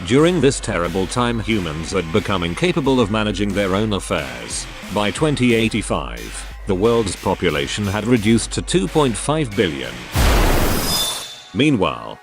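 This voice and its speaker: A low, expressive male voice of an actor as an AI guide, with music underneath. He is composed and measured, telling his story with wry understatement. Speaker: narrator. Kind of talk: scripted storytelling narration.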